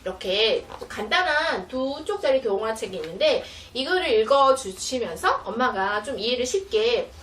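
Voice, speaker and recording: A woman's voice: on the high side, expressive, moderate pace, few pauses, a bit bright. A woman giving an informal, patient, step-by-step explanation of teaching materials to parents, like an educator. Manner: patient, step-by-step, acts out mother-child example dialogue. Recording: roomy, distant mic, faint noise.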